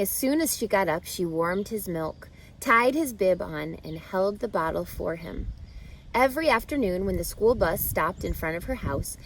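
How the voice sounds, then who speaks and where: A woman reading a children's storybook aloud with expressive, deliberate phrasing that stretches and stresses key words. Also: medium pitch, a little hiss or hum, low-quality recording. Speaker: storybook reader.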